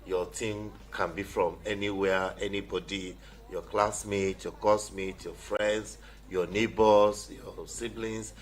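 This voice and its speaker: A Nigerian man with a fairly low voice talks as a guest in a radio studio. He explains step by step, listing items and padding with "you know". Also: little pitch movement, slow. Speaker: guest, competition organiser. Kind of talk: explanatory radio interview talk.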